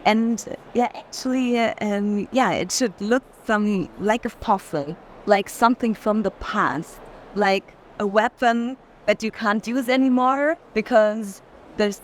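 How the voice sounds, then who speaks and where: Faint fair ambience sits behind a woman with a medium-pitched voice. She reflects on art casually and a little haltingly, leaning on "like" as she thinks. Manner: halting, frequent use of "like". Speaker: curator in conversation. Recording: noisy fair hall ambience.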